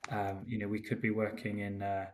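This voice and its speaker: A man from Portugal talks casually on a remote call. He is relaxed and hesitant, with frequent ums and false starts. A faint hiss sits under his voice. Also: lowish voice, fairly quick. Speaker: guest winemaker. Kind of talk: casual interview chat. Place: home in Porto, remote call.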